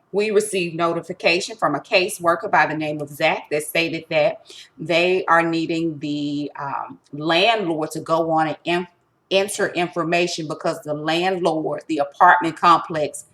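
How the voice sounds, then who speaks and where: Woman, slightly low pitch, tutorial presenter, informal step-by-step explaining, instructive, emphatic, frequent check-ins. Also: fairly bright tone. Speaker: resource center presenter giving a tutorial.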